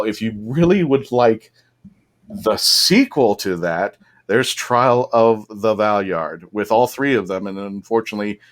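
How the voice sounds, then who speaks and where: A man hosting a casual fan podcast discussion, talking in a chatty, enthusiastic way. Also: on the low side. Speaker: podcast host.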